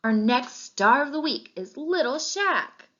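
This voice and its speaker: Storybook schoolteacher played by a woman reader, proud and encouraging, lifted pitch, deliberate announcing, slightly muffled audio. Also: expressive, fairly bright tone.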